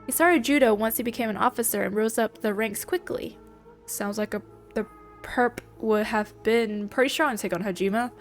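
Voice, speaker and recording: A woman's voice: on the high side, moderate pace, soft background music. A woman playing a game, reading its dialogue aloud and commenting playfully in between, her voice stuffy from allergies. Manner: reads lines and then comments playfully. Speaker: let's play gamer.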